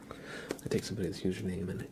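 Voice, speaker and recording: A soft-spoken man hosting an ASMR livestream, chatting casually and unhurriedly close to the mic, drifting between whispers and a low murmur. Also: level tone, brisk. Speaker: ASMR live streamer.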